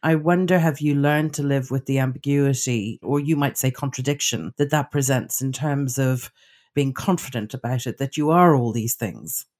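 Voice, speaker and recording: A man's voice: mid-range voice, moderate pace, a little mellow. A man hosting a podcast, asking his guest curious, probing questions. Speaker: podcast host. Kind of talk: interview questions.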